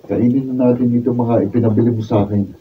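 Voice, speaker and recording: A man's voice: mid-range voice, fairly even intonation, quick pace, lightly acted. A man acting a patient but exasperated young boyfriend in a Filipino radio drama, protesting in a Filipino accent. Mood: weary, defensive. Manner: pleading, protesting, aggrieved. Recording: distant, dull, noisy behind-the-scenes room recording.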